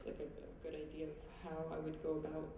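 A woman's voice: deep voice, completely flat delivery, fairly quick, dark tone, distant mic, a little hiss or hum. A young woman giving prepared remarks at a student event, speaking hesitantly with many fillers and restarts into a handheld mic on a large stage. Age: young adult. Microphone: handheld mic, far camera. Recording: echoey hall recorded from afar.

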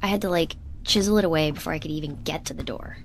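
A woman's voice: deep voice, fast talker. An actress playing an earnest, literal-minded young woman researcher in a sci-fi audio drama, speaking conversationally and a bit hesitantly. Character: earnest, awkward young woman researcher defecting from corporate ship. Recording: clear ambient noise behind.

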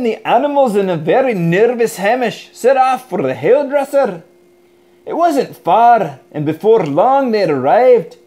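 An expressive Scottish man reads a children's story aloud. His pitch moves widely and he takes his time over the words, keeping the delivery energetic. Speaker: storybook narrator. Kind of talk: animated children's read-aloud.

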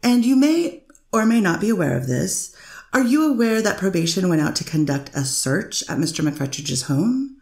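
A woman reading a gravelly, probing defense lawyer. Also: on the low side, sing-song, normal speed, a little mellow, echoey room. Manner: probing questions with frequent "I guess" fillers. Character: persistent female defense lawyer. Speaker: defense attorney cross-examining. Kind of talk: amateur readers theater reading of a court transcript.

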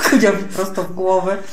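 A woman's voice: medium pitch, measured pace, crowd noise. A woman co-hosting a podcast in casual chat, jokey and self-mocking, often breaking off to rephrase herself. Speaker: podcast co-host. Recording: roomy, echoey sound.

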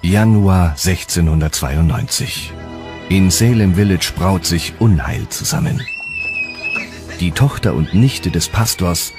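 A man's voice: low-pitched, expressive, slow pace. A man reading scripted, dramatic narration for a history documentary. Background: music.

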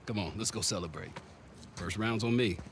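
A middle-aged American salvage boss in a video game, with a fairly low voice. He is genial, persistent and coaxing, heard over faint background noise. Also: moderate pace, a bit bright. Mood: hearty. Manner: hearty, coaxing. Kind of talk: naturalistic video game cutscene acting.

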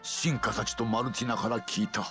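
A man's voice: fairly low pitch, steady tone, fairly quick, lightly acted. A man playing a solemn old king in a fantasy video game, speaking in a grand, formal, commanding manner. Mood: grave, remorseful. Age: older. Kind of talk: dramatic video game voice acting. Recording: game audio with music behind.

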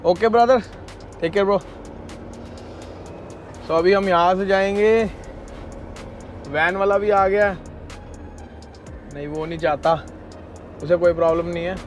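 A man's voice: sits high, measured pace, pauses often, soft background music. A man chatting casually and animatedly to viewers as a trucker vlogger. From India. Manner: animated, addresses viewers as brother. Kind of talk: casual vlog chat to viewers. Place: truck cab and snowy yard outdoors.

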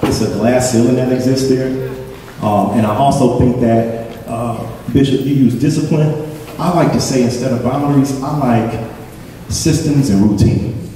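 A man's voice: mid-range voice, steady tone, moderate pace, mellow, slight background noise. Reflective man on a panel, far and echoey. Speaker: panel speaker. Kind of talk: panel talk. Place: hotel conference room.

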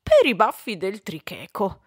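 A woman's voice: medium pitch, wildly swooping pitch, unhurried, no echo, studio quality. A woman voicing a salty, blustering male ship's cat in an exclamatory storybook style. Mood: exasperated.

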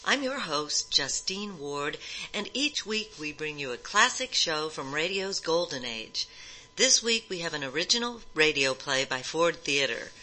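A woman's voice: low voice, bright, forceful tone, rough recording. A woman hosting an old-time radio programme with a scripted introduction.

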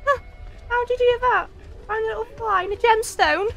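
A woman playing a cheeky, excitable young female companion in an audio drama, with a sarcastic, exclaiming manner. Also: very high voice, fairly quick, faint music behind. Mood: excited. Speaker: actress in a fan audio drama. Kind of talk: full-cast audio drama acting.

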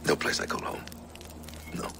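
An actor playing a grave, solitary warrior assassin, speaking in a measured, solemn way in a video game. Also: male voice, noisy background, close to an everyday voice. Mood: wistful. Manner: measured, solemn, sparing with words. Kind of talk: video game cutscene acting. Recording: loud game music behind.

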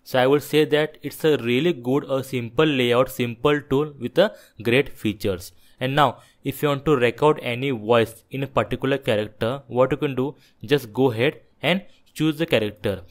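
A man narrating a software tutorial, explaining things step by step in an instructive, repetitive way. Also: medium pitch, normal speed, hardly any pauses. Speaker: tech tutorial presenter.

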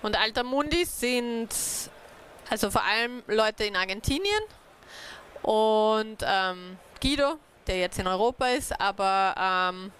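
A woman's voice: mid-range voice, animated, slow pace, fairly bright tone, smooth. A young woman, a native speaker, giving an informal talk to a small group about community networks, hesitant with many fillers. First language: German. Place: dark event room, on stage.

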